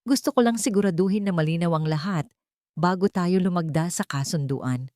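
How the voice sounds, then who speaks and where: A woman's voice: on the low side, normal speed, darkish tone, dry recording, lightly acted. A cold, menacing Filipino husband from an audiobook melodrama, as a woman narrator puts him on. He speaks with threat and calculation, set in a dry, close recording. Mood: falsely calm. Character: cold, menacing villainous husband plotting murder.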